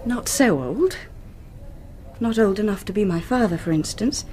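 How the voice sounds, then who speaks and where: Helpful British librarian, played by a woman, mid-pitched. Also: lively intonation, moderate pace, a little mellow, a little hiss or hum, natural voice. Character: helpful, composed female librarian, younger than the detective. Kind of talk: radio drama acting.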